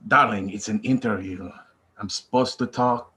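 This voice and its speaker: A man's voice: lowish voice, moderate pace, pushed and bright. A man playing a proud, uncool older Haitian immigrant father with a Haitian accent in a comedy table read. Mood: proud, plain.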